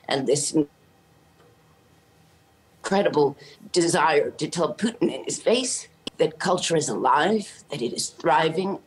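Impassioned low-voiced woman on a video call. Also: low-quality recording. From Canada. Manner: earnest and impassioned. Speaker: orchestra conductor. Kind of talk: remote news interview. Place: indoor room at home.

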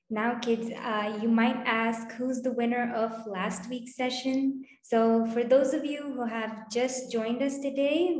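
Woman in her twenties, higher pitch, cheerful, encouraging, children's host, echoey room, video call. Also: darkish tone. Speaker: host of children's online storytime. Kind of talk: presenting and explaining to children. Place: indoor room with bare wall. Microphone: video call, computer microphone. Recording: roomy, echoing video call.

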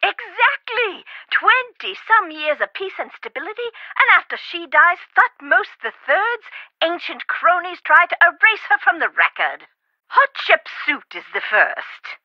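A prim, theatrical lady scholar in a game, high-pitched and swooping up and down as she spins elaborate metaphors. The sound is dry and a little dull, like ripped game audio. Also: sing-song, unhurried, dry recording, exaggerated voice. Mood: animated. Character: eccentric chatty woman scholar of Egypt, fussy and flowery. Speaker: character in an adventure game. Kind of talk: studio game voice acting.

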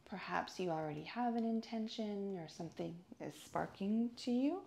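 A woman teaching yoga, leading a guided meditation in a calm, soothing, deliberate way with pauses between phrases. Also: mid-range voice, creaky.

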